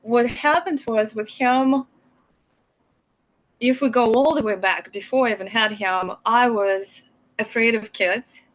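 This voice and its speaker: A woman with a Russian accent speaks as a guest on an interview show, recounting her experience as a mother. She talks softly and a little haltingly, pausing to find words and laughing often as she goes. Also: a little high, low-quality recording. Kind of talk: conversational interview storytelling.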